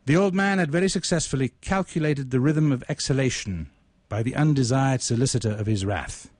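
A man reading his own literary short story aloud in a deliberate, measured, ornate manner. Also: medium pitch, darkish tone, no room sound, studio quality.

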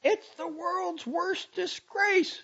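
A man's voice: medium pitch, wildly swooping pitch, takes it slowly, vocal fry, a little mellow, husky, lo-fi sound, voice pushed up, exaggerated voice. A man voicing a furious, fiery young woman in a storybook, scolding scornfully in slangy bursts. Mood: furious, mocking.

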